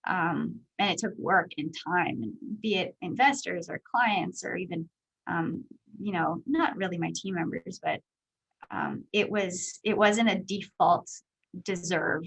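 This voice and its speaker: Woman, thirties, high voice, candid, casual guest answers. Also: unhurried, creaky, fairly bright tone, breathy edge, low-quality recording. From Canada. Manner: candid, chatty, many "like" and "um" fillers. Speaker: guest speaker, company executive.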